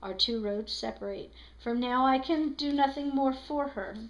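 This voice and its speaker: A woman voicing a calm, solemn old man who was once a convict, speaking slow, low and quiet. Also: lo-fi sound.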